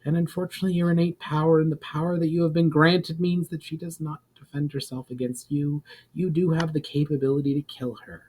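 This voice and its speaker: Wise mysterious female guide, read by a man, formal, measured, explanatory, fantasy audiobook. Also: a little high, level tone, darkish tone, slightly rough, poor audio. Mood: admonishing. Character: wise, mysterious woman guide explaining ancient magical laws.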